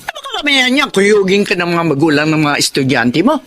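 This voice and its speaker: A woman's voice: on the low side, big pitch swings, brisk, a bit bright, a little hiss or hum. A woman playing a disapproving, exasperated mother of a grown son in a drama, nagging and scornful. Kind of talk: emotional Filipino audio drama acting.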